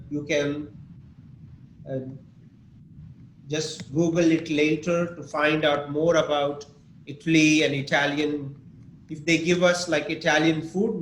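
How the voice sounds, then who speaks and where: A man leads an online English class at an unhurried pace, patiently walking through examples and asking his students questions. His voice sits a little high, and the recording carries an echo with faint background noise. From Pakistan. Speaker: English teacher. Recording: echoey room with faint noise.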